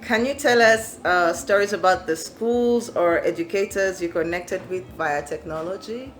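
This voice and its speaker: A woman's voice: lowish voice, moderate pace, slight background noise. A woman from Kenya interviewing a guest, asking questions and offering short encouraging affirmations.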